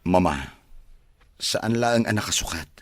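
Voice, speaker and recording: An actor playing an insistent, well-off young man in an Ilocano radio drama, with a husky voice. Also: on the low side, measured pace, dry recording, close to an everyday voice. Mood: accommodating. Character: wealthy young man of a mansion, insistent, obedient son.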